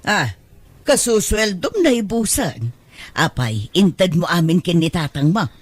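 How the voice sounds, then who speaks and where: A woman's voice: fairly low pitch, sing-song, unhurried, dry recording. Plaintive, nagging Filipina mother played by a woman. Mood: reproachful. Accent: Ilocano Filipino. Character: needy, reproachful middle-aged mother pleading for money. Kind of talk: Ilocano audio drama acting.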